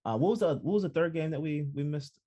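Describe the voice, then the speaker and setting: A man co-hosting a sports podcast, talking in halting bursts with many restarts, easily excited. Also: a little high, muffled recording. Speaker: podcast co-host. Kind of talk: casual sports chat.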